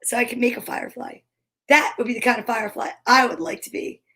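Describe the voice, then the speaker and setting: A woman's voice: on the high side, quick pace, pushed and bright. A woman hosting a children's storytime, reading a picture book aloud expressively with chatty asides. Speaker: storyteller and host.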